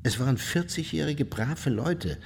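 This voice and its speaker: A man's voice: mid-range voice, animated, a little slow, vocal fry, a little hiss or hum. A man playing an unrepentant Nazi war criminal in a radio play, recounting his deeds in a blunt, self-justifying way. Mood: hard, justifying. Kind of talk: German radio play, interview testimony. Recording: long reverberant hall.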